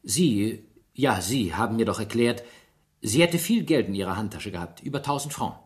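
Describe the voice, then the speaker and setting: An actor playing a sharp police inspector in a radio drama, pressing his questions methodically. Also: lowish voice, lively intonation, normal speed, natural voice. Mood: probing. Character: persistent, sharp male police inspector interrogating suspects.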